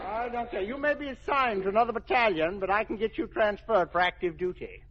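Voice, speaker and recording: An actor playing an eccentric old military general in radio comedy, folksy and rambling, with a cracked codger's voice. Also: male voice, sits high, fairly quick, big-room sound, a crowd in the background, close to an everyday voice. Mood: smitten. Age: older. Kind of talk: 1940s radio comedy acting. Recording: noisy, dull old radio recording.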